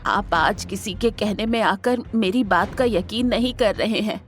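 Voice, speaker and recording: A woman's voice: medium pitch, measured pace, soft background music, close to an everyday voice. A woman playing a stern, authoritative elderly matriarch and businesswoman in an audio drama, firm and insistent. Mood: insistent, pleading. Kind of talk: Hindi audio drama acting.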